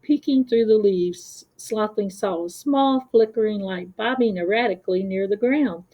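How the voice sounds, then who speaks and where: A woman's voice: mid-range voice, normal speed, warm tone. A woman storyteller reading a scripted children's story.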